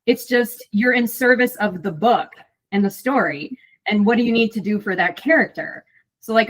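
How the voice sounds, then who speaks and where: Middle-aged lady voice actor chatting in an interview, lots of likes and restarts, medium pitch. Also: a bit bright, rough recording. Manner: talkative, many fillers and restarts. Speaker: audiobook narrator and voice actor, guest. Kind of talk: casual interview chat.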